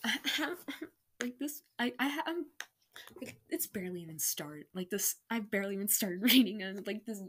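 A woman's voice: mid-range voice, a bit bright, breathy edge. A young adult woman casually reading her own story aloud with self-mocking, incredulous commentary. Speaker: online reader of own stories.

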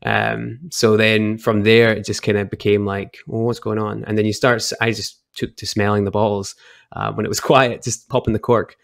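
A young man from Edinburgh with a Scottish accent, chatting and telling stories as a podcast guest over a video call, relaxed and quick to laugh. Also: fairly low pitch, vocal fry, no echo, studio quality. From Scotland, Edinburgh. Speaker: guest, whisky brand ambassador. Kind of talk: casual storytelling chat.

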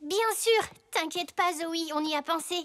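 A performer voicing a cheerful, helpful little boy zebra in an expressive, childlike way for a children's cartoon. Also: extremely high pitch, normal speed. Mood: reassuring.

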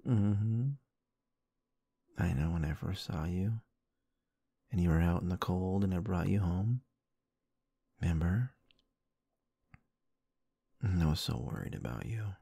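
A man doing intimate roleplay ASMR, murmuring softly and affectionately in a soothing, breathy way close to the microphone. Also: deep voice, expressive, takes it slowly, pauses often, no room sound. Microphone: close-up microphone, dry.

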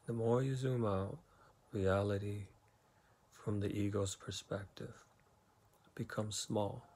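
A man leading a guided meditation, giving slow, soothing instructions with long pauses between phrases. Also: lowish voice, steady tone, a little mellow, slightly rough.

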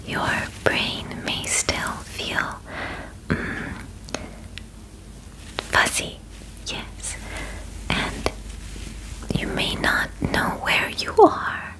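A young woman voicing a gentle, clinical alien examiner in a breathy ASMR whisper, with halting, formal phrasing. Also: rough recording. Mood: soothing. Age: young adult. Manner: slow, halting, formal phrasing without contractions. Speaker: ASMR roleplay performer. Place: small bedroom, indoors. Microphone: close microphone.

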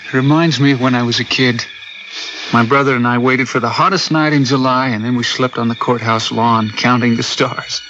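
A man's voice: medium pitch, fairly even intonation, normal speed, noisy background, natural voice. An actor playing a thoughtful, dreamy spaceship crewman, earnest and reflective, in a radio drama. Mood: wistful. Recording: dull radio recording, loud music behind.

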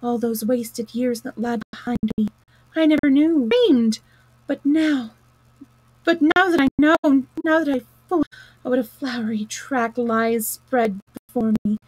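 A woman's voice: on the high side, measured pace, dry recording, poor audio. A woman playing a vain, dreamy male storybook toad who speaks in a rapturous, grandiose, exclamatory manner.

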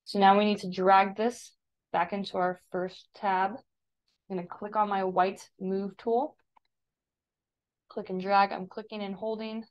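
An American woman teaching a step-by-step software tutorial in an informal, instructive way. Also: mid-range voice, flat delivery, measured pace, muffled recording. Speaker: digital design teacher. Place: voice-over for a screen recording.

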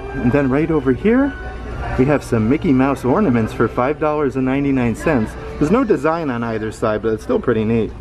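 A man filming a casual shopping vlog, reading out prices with upbeat remarks inside a store. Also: mid-range voice. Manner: upbeat, reads out prices, frequent "guys" and "look at that". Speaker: vlogger. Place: indoor retail store.

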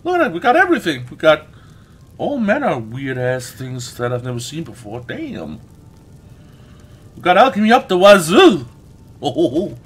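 Animated, higher-pitched man playing a game. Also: breathy edge. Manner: exclamatory, often swearing, drawn-out words. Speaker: let's play host. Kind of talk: casual gameplay commentary.

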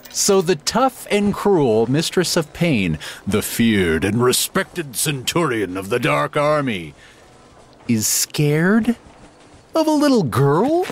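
An actor plays a male companion in a fantasy audio drama, easygoing and teasing. His speech is slow and hesitant, with a hugely animated pitch, over faint background noise. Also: exaggerated voice.

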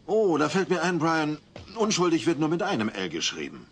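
Middle-aged neighbour, jovial and sheepish, animated intonation, audio drama with faint noise behind. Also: male voice, a little high, fairly quick. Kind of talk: German audio play dub acting.

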